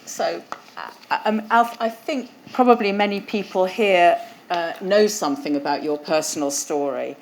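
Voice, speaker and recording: A woman with a lowish voice hosts an exhibition launch. She talks conversationally into a lapel mic, with some fillers along the way. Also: measured pace, a little hiss or hum. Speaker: event host. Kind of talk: hosting a museum talk. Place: event hall.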